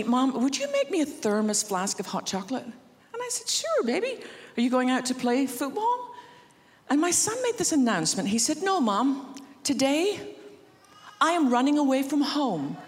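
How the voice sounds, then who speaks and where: A Scottish woman preaches from the stage of a large church, and her voice rings out with a long echo around the hall. She tells stories with gentle humor and acts out the lines of the people in them. Her pitch rises and falls in a lively way. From Scotland. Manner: warm anecdotal humor, acts out quotes. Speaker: guest preacher. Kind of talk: sermon storytelling.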